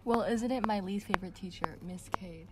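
A woman's voice: mid-range voice, moderate pace, close to an everyday voice. Girl as sardonic teen survivor, noisy recording. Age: young teenager. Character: sardonic bitten girl recovering from zombie infection. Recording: noisy amateur student recording.

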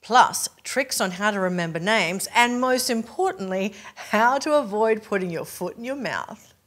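A woman in her forties with an Australian accent, giving a polished, upbeat scripted pitch straight to camera from a living room set. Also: animated, moderate pace, fairly bright tone. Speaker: presenter promoting her keynote talks.

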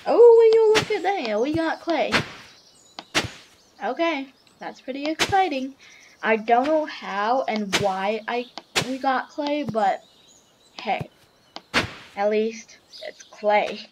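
A young woman with a slightly hoarse voice, chatting casually as she plays a farming game and reads its text aloud. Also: high-pitched, expressive, takes it slowly, pauses often, heavy background noise. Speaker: gamer narrating a farming game. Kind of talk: casual let's-play commentary. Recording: game music loud behind voice.

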